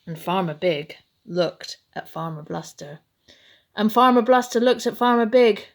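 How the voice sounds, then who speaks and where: Female storybook reader, slow and theatrical, with creaky edges, for bedtime tales. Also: medium pitch. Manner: dramatic, expressive, deliberately paced. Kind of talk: animated children's bedtime story reading.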